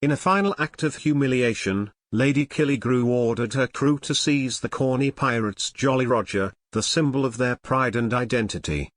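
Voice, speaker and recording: A man's voice: medium pitch, moderate pace, hardly any pauses, dry recording. Male audio drama narrator, dry sound.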